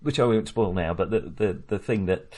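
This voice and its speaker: Male film podcast co-host. Low, husky voice, halting conversational delivery. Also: warm tone. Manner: hesitant, halting, self-correcting. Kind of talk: casual film discussion.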